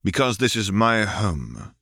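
A man reading the part of a world-weary, wry and resolute Jedi in his thirties for a storybook, in a measured, dry manner. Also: lowish voice, big pitch swings, a bit bright, dry recording, exaggerated voice. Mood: quiet, resolute.

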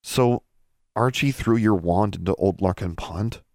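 A man's voice: lowish voice, a little slow, darkish tone, no room sound, clean studio sound. A man voicing an exasperated teenage boy who talks bluntly to a friend.

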